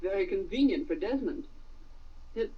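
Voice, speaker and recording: Sharp american office gal, old radio show, dry and teasing, husky, muffled. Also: female voice, medium pitch, lively intonation, moderate pace, recorded from a distance. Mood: probing. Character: sharp, skeptical female newspaper secretary. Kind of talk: 1940s radio acting.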